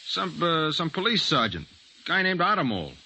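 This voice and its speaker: An actor playing an eager, slangy young newsroom assistant in an old-time radio drama. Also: male voice, a little high, big pitch swings, moderate pace, sharp tone, full character voice. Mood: eager, excited. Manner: snappy, slangy. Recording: hissy old radio recording.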